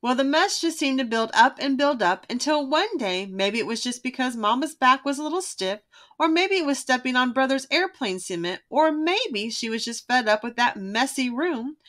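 A woman with a bright, fairly high voice reads a children's storybook. Her delivery is expressive and emphatic, with very lively pitch. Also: normal speed. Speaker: read-aloud narrator.

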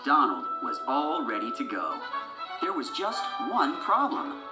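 A man's voice: mid-range voice, wildly swooping pitch, measured pace, roomy sound, loud music behind the voice. A man narrating a children's storybook from a script.

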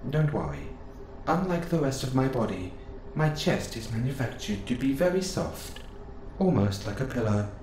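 A man playing a gentle, curious robot assistant who speaks in formal, precise phrases, close and intimate in an audio roleplay. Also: mid-range voice, very animated, normal speed, natural voice. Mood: gently curious. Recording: roomy sound with clear background noise.

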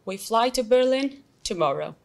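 A young woman with an Albanian accent teaching an English lesson, explaining slowly and patiently with many examples. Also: on the high side, animated, gravelly. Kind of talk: recorded classroom lesson.

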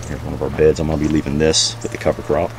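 A man with an exceptionally deep voice hosts a casual walk-through vlog. He talks informally and off the cuff, pointing things out as he goes. The recording is made outdoors, with clear background noise around his voice. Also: monotone, racing. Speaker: host of a homesteading vlog. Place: outdoors in a backyard garden.

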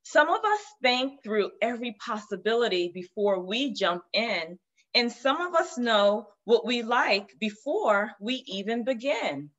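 A woman reads a picture book aloud at an unhurried, gentle pace. Her voice comes through a muffled, lo-fi video call. The call is from a room lined with children's books. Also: a little high, very animated. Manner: slow, gentle read-aloud. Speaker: guest storyteller. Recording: dull lo-fi video call audio.

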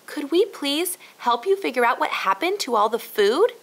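Eager little storybook boy voiced by a woman, wondering, high and animated. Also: normal speed. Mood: curious. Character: curious, eager little boy asking questions.

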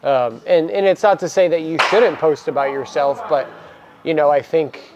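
Younger man talking casually, higher voice. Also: moderate pace, highs cut off, a crowd in the background. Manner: relaxed, many "you know" fillers. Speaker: baseball program coach and co-host. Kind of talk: casual podcast chat. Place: cluttered indoor equipment room. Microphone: lapel mic.

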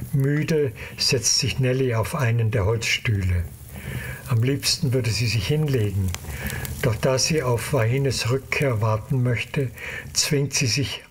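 Male audio drama narrator, faint noise behind. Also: mid-range voice.

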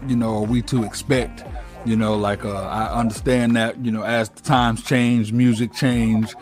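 A man's voice: on the low side, level tone, moderate pace, a little mellow. A man, hosting a casual interview in an informal, slangy way. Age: late 30s. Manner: informal, slangy, repeats phrases. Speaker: interview host. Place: indoor room at home, on a video call. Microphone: wired earphones on a video call. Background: music.

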